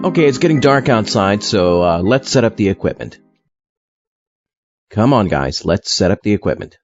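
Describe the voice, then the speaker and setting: Comedy straight man played by a man: bossy team leader, animated delivery, dull recording. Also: on the low side, moderate pace, music in the background. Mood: exasperated. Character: exasperated male leader of amateur ghost hunters. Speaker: host. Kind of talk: comedy skit hosting.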